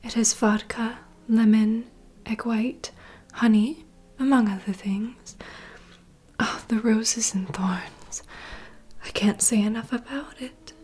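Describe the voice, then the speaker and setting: A woman playing a poised, courteous vampire bartender in a whispered ASMR roleplay, unhurried and softly teasing. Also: a little high, steady tone, husky. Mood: proud, inviting. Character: poised, welcoming female vampire bartender, proud mixologist.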